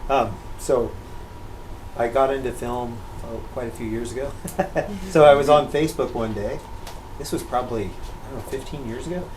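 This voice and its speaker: A man's voice: mid-range voice, normal speed, noisy background. A middle-aged American man hosting a vlog, talking in a casual narrating and chatting style. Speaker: vlog host.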